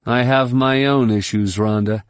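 A man voicing a young Jedi who is weary and guarded, speaking in a flat, restrained way. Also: medium pitch, measured pace, smooth, dry recording, lightly acted. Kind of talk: audiobook character voice.

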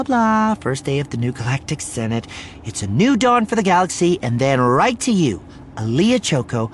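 A man voicing a brisk, polished woman news broadcaster with a practiced, breezy, commanding manner in an audiobook. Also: fairly high pitch, a little hiss or hum, pitched above the performer's own voice. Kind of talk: audiobook character voice.

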